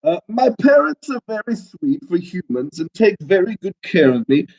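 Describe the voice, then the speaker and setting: A man's voice: high-pitched, very animated, darkish tone, voice pushed up. A man with a stuffy nose voicing a haughty, spoiled five-year-old female dog as he reads a children's book aloud. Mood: grand. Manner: haughty, grand, drawn-out. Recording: dull lo-fi recording.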